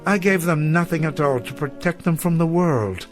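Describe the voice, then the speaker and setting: An actor playing a weary, self-doubting elderly schoolteacher, speaking formally and eloquently in a radio drama. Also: male voice, fairly high pitch, moderate pace, soft background music, close to an everyday voice. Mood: despairing.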